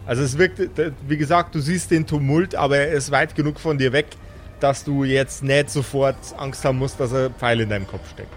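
A man's voice: medium pitch, moderate pace. A man, narrating an improvised tabletop game as game master.

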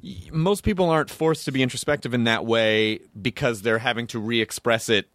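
A man hosting a podcast, asking questions in an animated, casual way and swearing now and then. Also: mid-range voice, fairly quick, dry recording, studio quality. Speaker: podcast host. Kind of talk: casual interview chat.

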